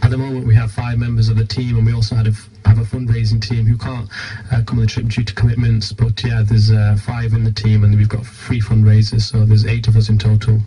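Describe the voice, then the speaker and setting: A man's voice: on the low side, completely flat delivery, fast talker, warm tone, breathy edge, low-quality recording. A British man giving an informal radio interview as a guest, explaining things earnestly. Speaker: charity team leader, radio interview guest.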